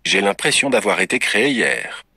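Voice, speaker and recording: A male computer voice assistant giving evasive, witty canned replies in a smooth synthetic voice. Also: fairly low pitch, sing-song, normal speed, crowd noise. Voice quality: smooth synthetic text-to-speech voice. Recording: noisy phone speaker.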